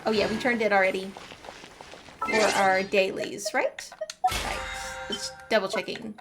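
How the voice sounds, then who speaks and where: A woman's voice: mid-range voice, fairly even intonation, a little slow, loud music behind the voice. A woman chatting casually and playfully as she hosts a video game livestream, bubbly in manner. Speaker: game streamer. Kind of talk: casual livestream gaming chat.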